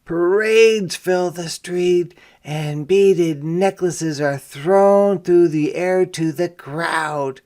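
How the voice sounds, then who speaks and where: A man reading a picture book aloud to young children as a teacher, phrasing deliberately and pausing to explain words simply. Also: high voice, darkish tone. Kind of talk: interactive children's read-aloud with explanatory asides.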